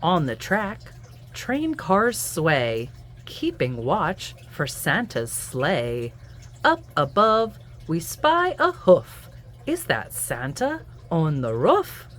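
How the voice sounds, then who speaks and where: Slow, very animated woman reading a picture book. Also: lowish voice, slight background noise. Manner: animated, singsong, deliberate storytelling. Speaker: children's book reader and host. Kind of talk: expressive picture-book read-aloud.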